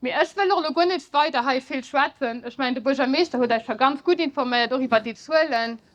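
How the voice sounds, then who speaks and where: A woman's voice: high-pitched, fairly quick. A woman hosting an event, speaking informally and switching between languages to introduce the next speaker. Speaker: event host. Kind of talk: public speech.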